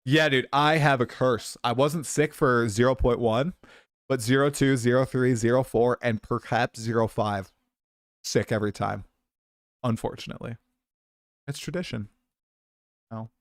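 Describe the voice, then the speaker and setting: A man's voice: mid-range voice, steady tone, moderate pace, pauses often, no room sound, clean studio sound. A man in his late twenties hosting a casual livestream, chatty and self-deprecating, coming down with a cold. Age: 20s. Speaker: streamer host.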